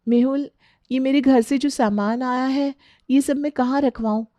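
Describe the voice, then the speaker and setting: Woman doing young indian bahu in a story, high voice, polite, puzzled, kinda plaintive. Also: fairly even intonation, a little slow, warm tone, dry recording, studio quality. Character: hesitant, puzzled young Indian newlywed daughter-in-law.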